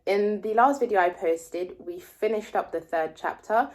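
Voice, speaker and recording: A woman in her twenties, a native French speaker, vlogging as a language learner. She reads a text aloud slowly, thinks aloud and guesses at words she doesn't know. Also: mid-range voice, a little mellow. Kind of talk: reading aloud and explaining a text.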